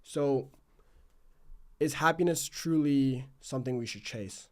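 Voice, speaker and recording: A man's voice: mid-range voice, takes it slowly. A man hosting a podcast in a casual, reflective way, rambling and self-questioning as he circles toward his questions. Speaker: podcast host, interviewer. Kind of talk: casual reflective interview chat.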